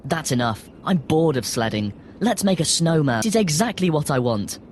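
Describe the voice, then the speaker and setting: A woman voicing a bold young boy in a fairy-tale audio story, emphatic and expressive. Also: deep voice, normal speed, a little hiss or hum. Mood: bold, excited.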